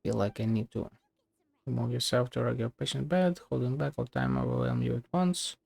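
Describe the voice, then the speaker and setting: A woman gives casual, wry play-along commentary on a game. Her voice is very low and gravelly with fry, recorded close and dry. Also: expressive, fairly quick, a little mellow, dry recording. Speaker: game streamer.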